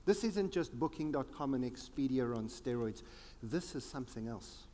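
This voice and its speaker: A South African man giving a conference keynote, with dramatic pauses and a sweeping, emphatic delivery. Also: mid-range voice, lively intonation, dark tone, long reverb tail. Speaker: keynote speaker. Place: large conference hall.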